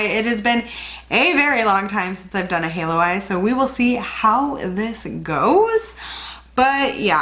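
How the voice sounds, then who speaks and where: A woman's voice: a little high, a bit bright. Chatty young woman with a slight cold. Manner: chatty, rambling, self-correcting. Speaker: beauty vlogger. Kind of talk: casual makeup tutorial chat.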